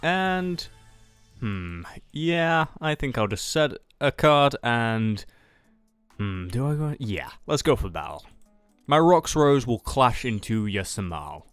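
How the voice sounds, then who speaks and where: A man voices a confident, slightly absent-minded card duelist in an anime-style roleplay. His mid-pitched voice moves up and down in a lively way as he thinks aloud. Faint music plays behind him. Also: slow pace, clean tone, dry recording. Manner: thinking aloud with hesitant hums. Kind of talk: improvised anime-style roleplay dialogue, game commentary.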